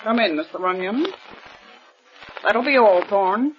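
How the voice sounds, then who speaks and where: A woman's voice: mid-range voice, lively intonation, measured pace, background noise, close to an everyday voice. A woman playing a tense, proper heiress in her forties. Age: 40s. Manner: formal and insistent. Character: tense, proper wealthy eldest daughter. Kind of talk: 1940s radio acting. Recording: old lo-fi radio recording.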